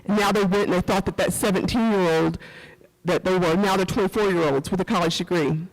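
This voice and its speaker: A middle-aged woman with a rural Missouri accent gives an earnest, halting personal talk as a speaker at a summit, repeating phrases for emphasis. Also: on the low side. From rural Missouri, USA. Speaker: panel speaker, former police officer and professor. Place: event hall at a community center. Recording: echoey hall, long reverb.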